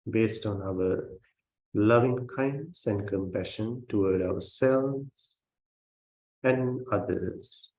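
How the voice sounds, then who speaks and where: A man who is a Buddhist meditation teacher, guiding a meditation in a gentle, measured way with long pauses between phrases. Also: on the low side. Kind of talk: guided meditation. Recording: roomy, reverberant sound.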